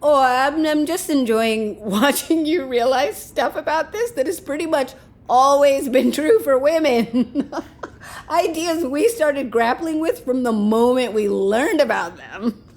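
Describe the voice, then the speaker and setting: A high-voiced, flippant, teasing woman. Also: animated, unhurried, darkish tone. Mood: amused, mocking. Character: breezy, sardonic woman amused by her panicking male partner. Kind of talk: naturalistic audio drama acting.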